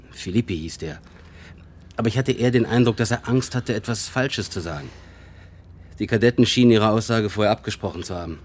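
A man's voice: on the low side, darkish tone, breathy edge. An actor playing a matter-of-fact police officer in a radio play, giving a plain, factual report to his superior.

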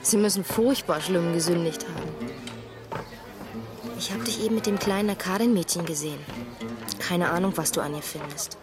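A woman's voice: lowish voice, moderate pace, pauses often, lightly acted. Actress as jealous, bitter young girlfriend over music. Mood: wounded jealousy. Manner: reproachful, pointed, insistent. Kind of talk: dubbed 1950s film thriller acting. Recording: film soundtrack with clear music.

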